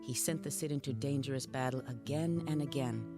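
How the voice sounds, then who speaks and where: An actress playing a medieval Spanish noblewoman who recounts her husband's deeds in measured, scripted storytelling for a game. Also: extremely low pitch, over loud music. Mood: solemn, wistful.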